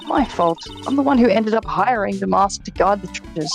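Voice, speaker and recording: A woman's voice: medium pitch, animated, normal speed, gravelly, faint music behind. A woman voicing an apologetic young female museum worker in a video game dub, hesitant and gushing.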